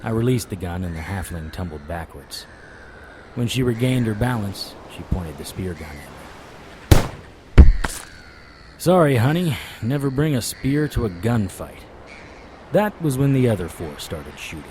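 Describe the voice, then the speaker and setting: An actor playing a wry, world-weary occult detective who narrates in noir audio drama style. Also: male voice, lowish voice, sing-song, measured pace, pauses often, warm tone, a little hiss or hum. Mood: wry, dramatic. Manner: wry, sardonic. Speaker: narrator and lead character.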